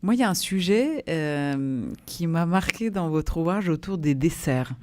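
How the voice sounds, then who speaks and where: A man's voice: on the high side, lively intonation, a little slow, background noise. A man hosting a radio interview, asking the guest questions in a conversational way. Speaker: radio host.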